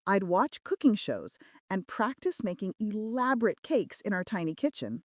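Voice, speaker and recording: A woman's voice: medium pitch, very animated, moderate pace, darkish tone, no echo. A woman voicing a practical, affectionate female assistant with dry wit in a romance audiobook. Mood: candid, wistful. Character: practical, wry, loving female assistant to mafia boss.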